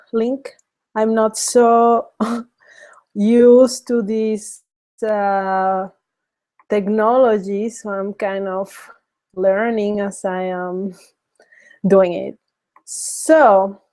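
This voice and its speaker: A woman's voice: a little high, dark tone. A woman from Mexico in her thirties hosting a casual webinar, speaking hesitantly with many fillers and restarts. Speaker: webinar host.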